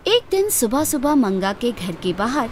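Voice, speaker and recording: Female storyteller for children's tales: unhurried, expressive, high-pitched, with clear background music. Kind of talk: scripted narration of children's fairy tale. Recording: prominent music behind the voice.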